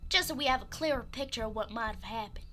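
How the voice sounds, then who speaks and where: A woman playing a gentle, polite young detective's assistant in a radio drama, with a soothing manner. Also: on the high side, lively intonation, fast. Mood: polite, probing.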